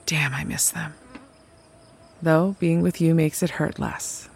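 A woman's voice: low-pitched, fairly even intonation, slow pace, gravelly, darkish tone, slight background noise, full character voice. A woman playing a resourceful drifter mechanic, telling of travels in a naturalistic audio drama, conversational and wry. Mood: wistful, tender.

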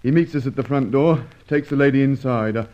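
On a dull, lo-fi radio recording, an actor plays a reluctant henchman. He is a nervous man in a middle register, halting and defensive, in vintage serial style. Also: mid-range voice, dark tone, lightly acted. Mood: grudging. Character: nervous, reluctant henchman chauffeur under threat. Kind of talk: old radio serial acting.

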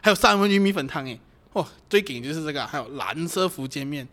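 Bright, animated, doting Malaysian boyfriend played by a man. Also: on the high side, quick pace. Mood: boastful, playful. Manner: teasing, colloquial. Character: playful, doting boyfriend who loves cooking. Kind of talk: amateur audio drama acting.

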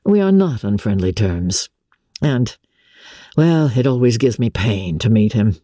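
Female reader as young period gentleman: dark husky tone, courteous, confiding, air of wounded dignity, classic novel reading. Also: very deep voice, lively intonation, measured pace, dry recording, studio quality, pitched below the performer's own voice, heavily acted. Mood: pained, proud. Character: genteel, smooth-talking young gentleman officer, wounded dignity. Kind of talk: dramatic audiobook character reading.

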